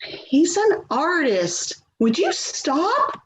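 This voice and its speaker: A woman's voice: high voice, huge pitch swings, drawn-out words, full character voice. A woman acting a harried, placating adult daughter in a readers theater play, weary, hesitant and pleading. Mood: defensive. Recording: dull, echoey, clearly noisy audio.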